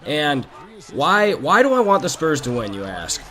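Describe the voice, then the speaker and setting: A hoarse man from Minnesota, hosting a gaming channel and chatting casually about basketball over gameplay, opinionated and talking straight to his viewers. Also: on the high side, huge pitch swings, measured pace, a bit bright. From Minnesota, USA.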